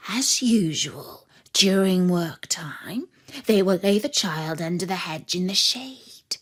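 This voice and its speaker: A woman voicing a sly, scheming wolf in a fairy tale, coaxing in a slow, breathy, conspiratorial whisper. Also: on the low side, animated, a bit bright, full character voice. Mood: sly, reassuring. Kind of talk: fairy-tale storybook reading.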